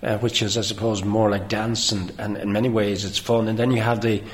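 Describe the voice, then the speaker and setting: A man's voice: on the low side, level tone, fairly quick. An Irish man interviewing on local radio, conversational and inquisitive, often rephrasing his questions as he goes.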